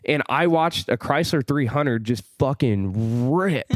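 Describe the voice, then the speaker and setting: A man in his twenties hosting a podcast, excitable and swearing freely as he tells loose stories. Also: mid-range voice, slow pace, no echo. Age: 20s. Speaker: podcast host. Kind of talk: casual chat.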